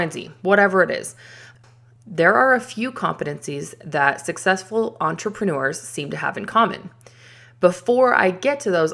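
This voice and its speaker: A woman hosting her own podcast, talking casually and upbeat with fillers and quick asides. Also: low voice. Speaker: podcast host. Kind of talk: casual solo podcast talk.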